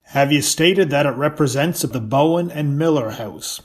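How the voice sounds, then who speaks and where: A man's voice: a little high, normal speed, natural voice. A man playing a probing, formal trial lawyer in an 1893 courtroom reenactment. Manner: formal, questioning.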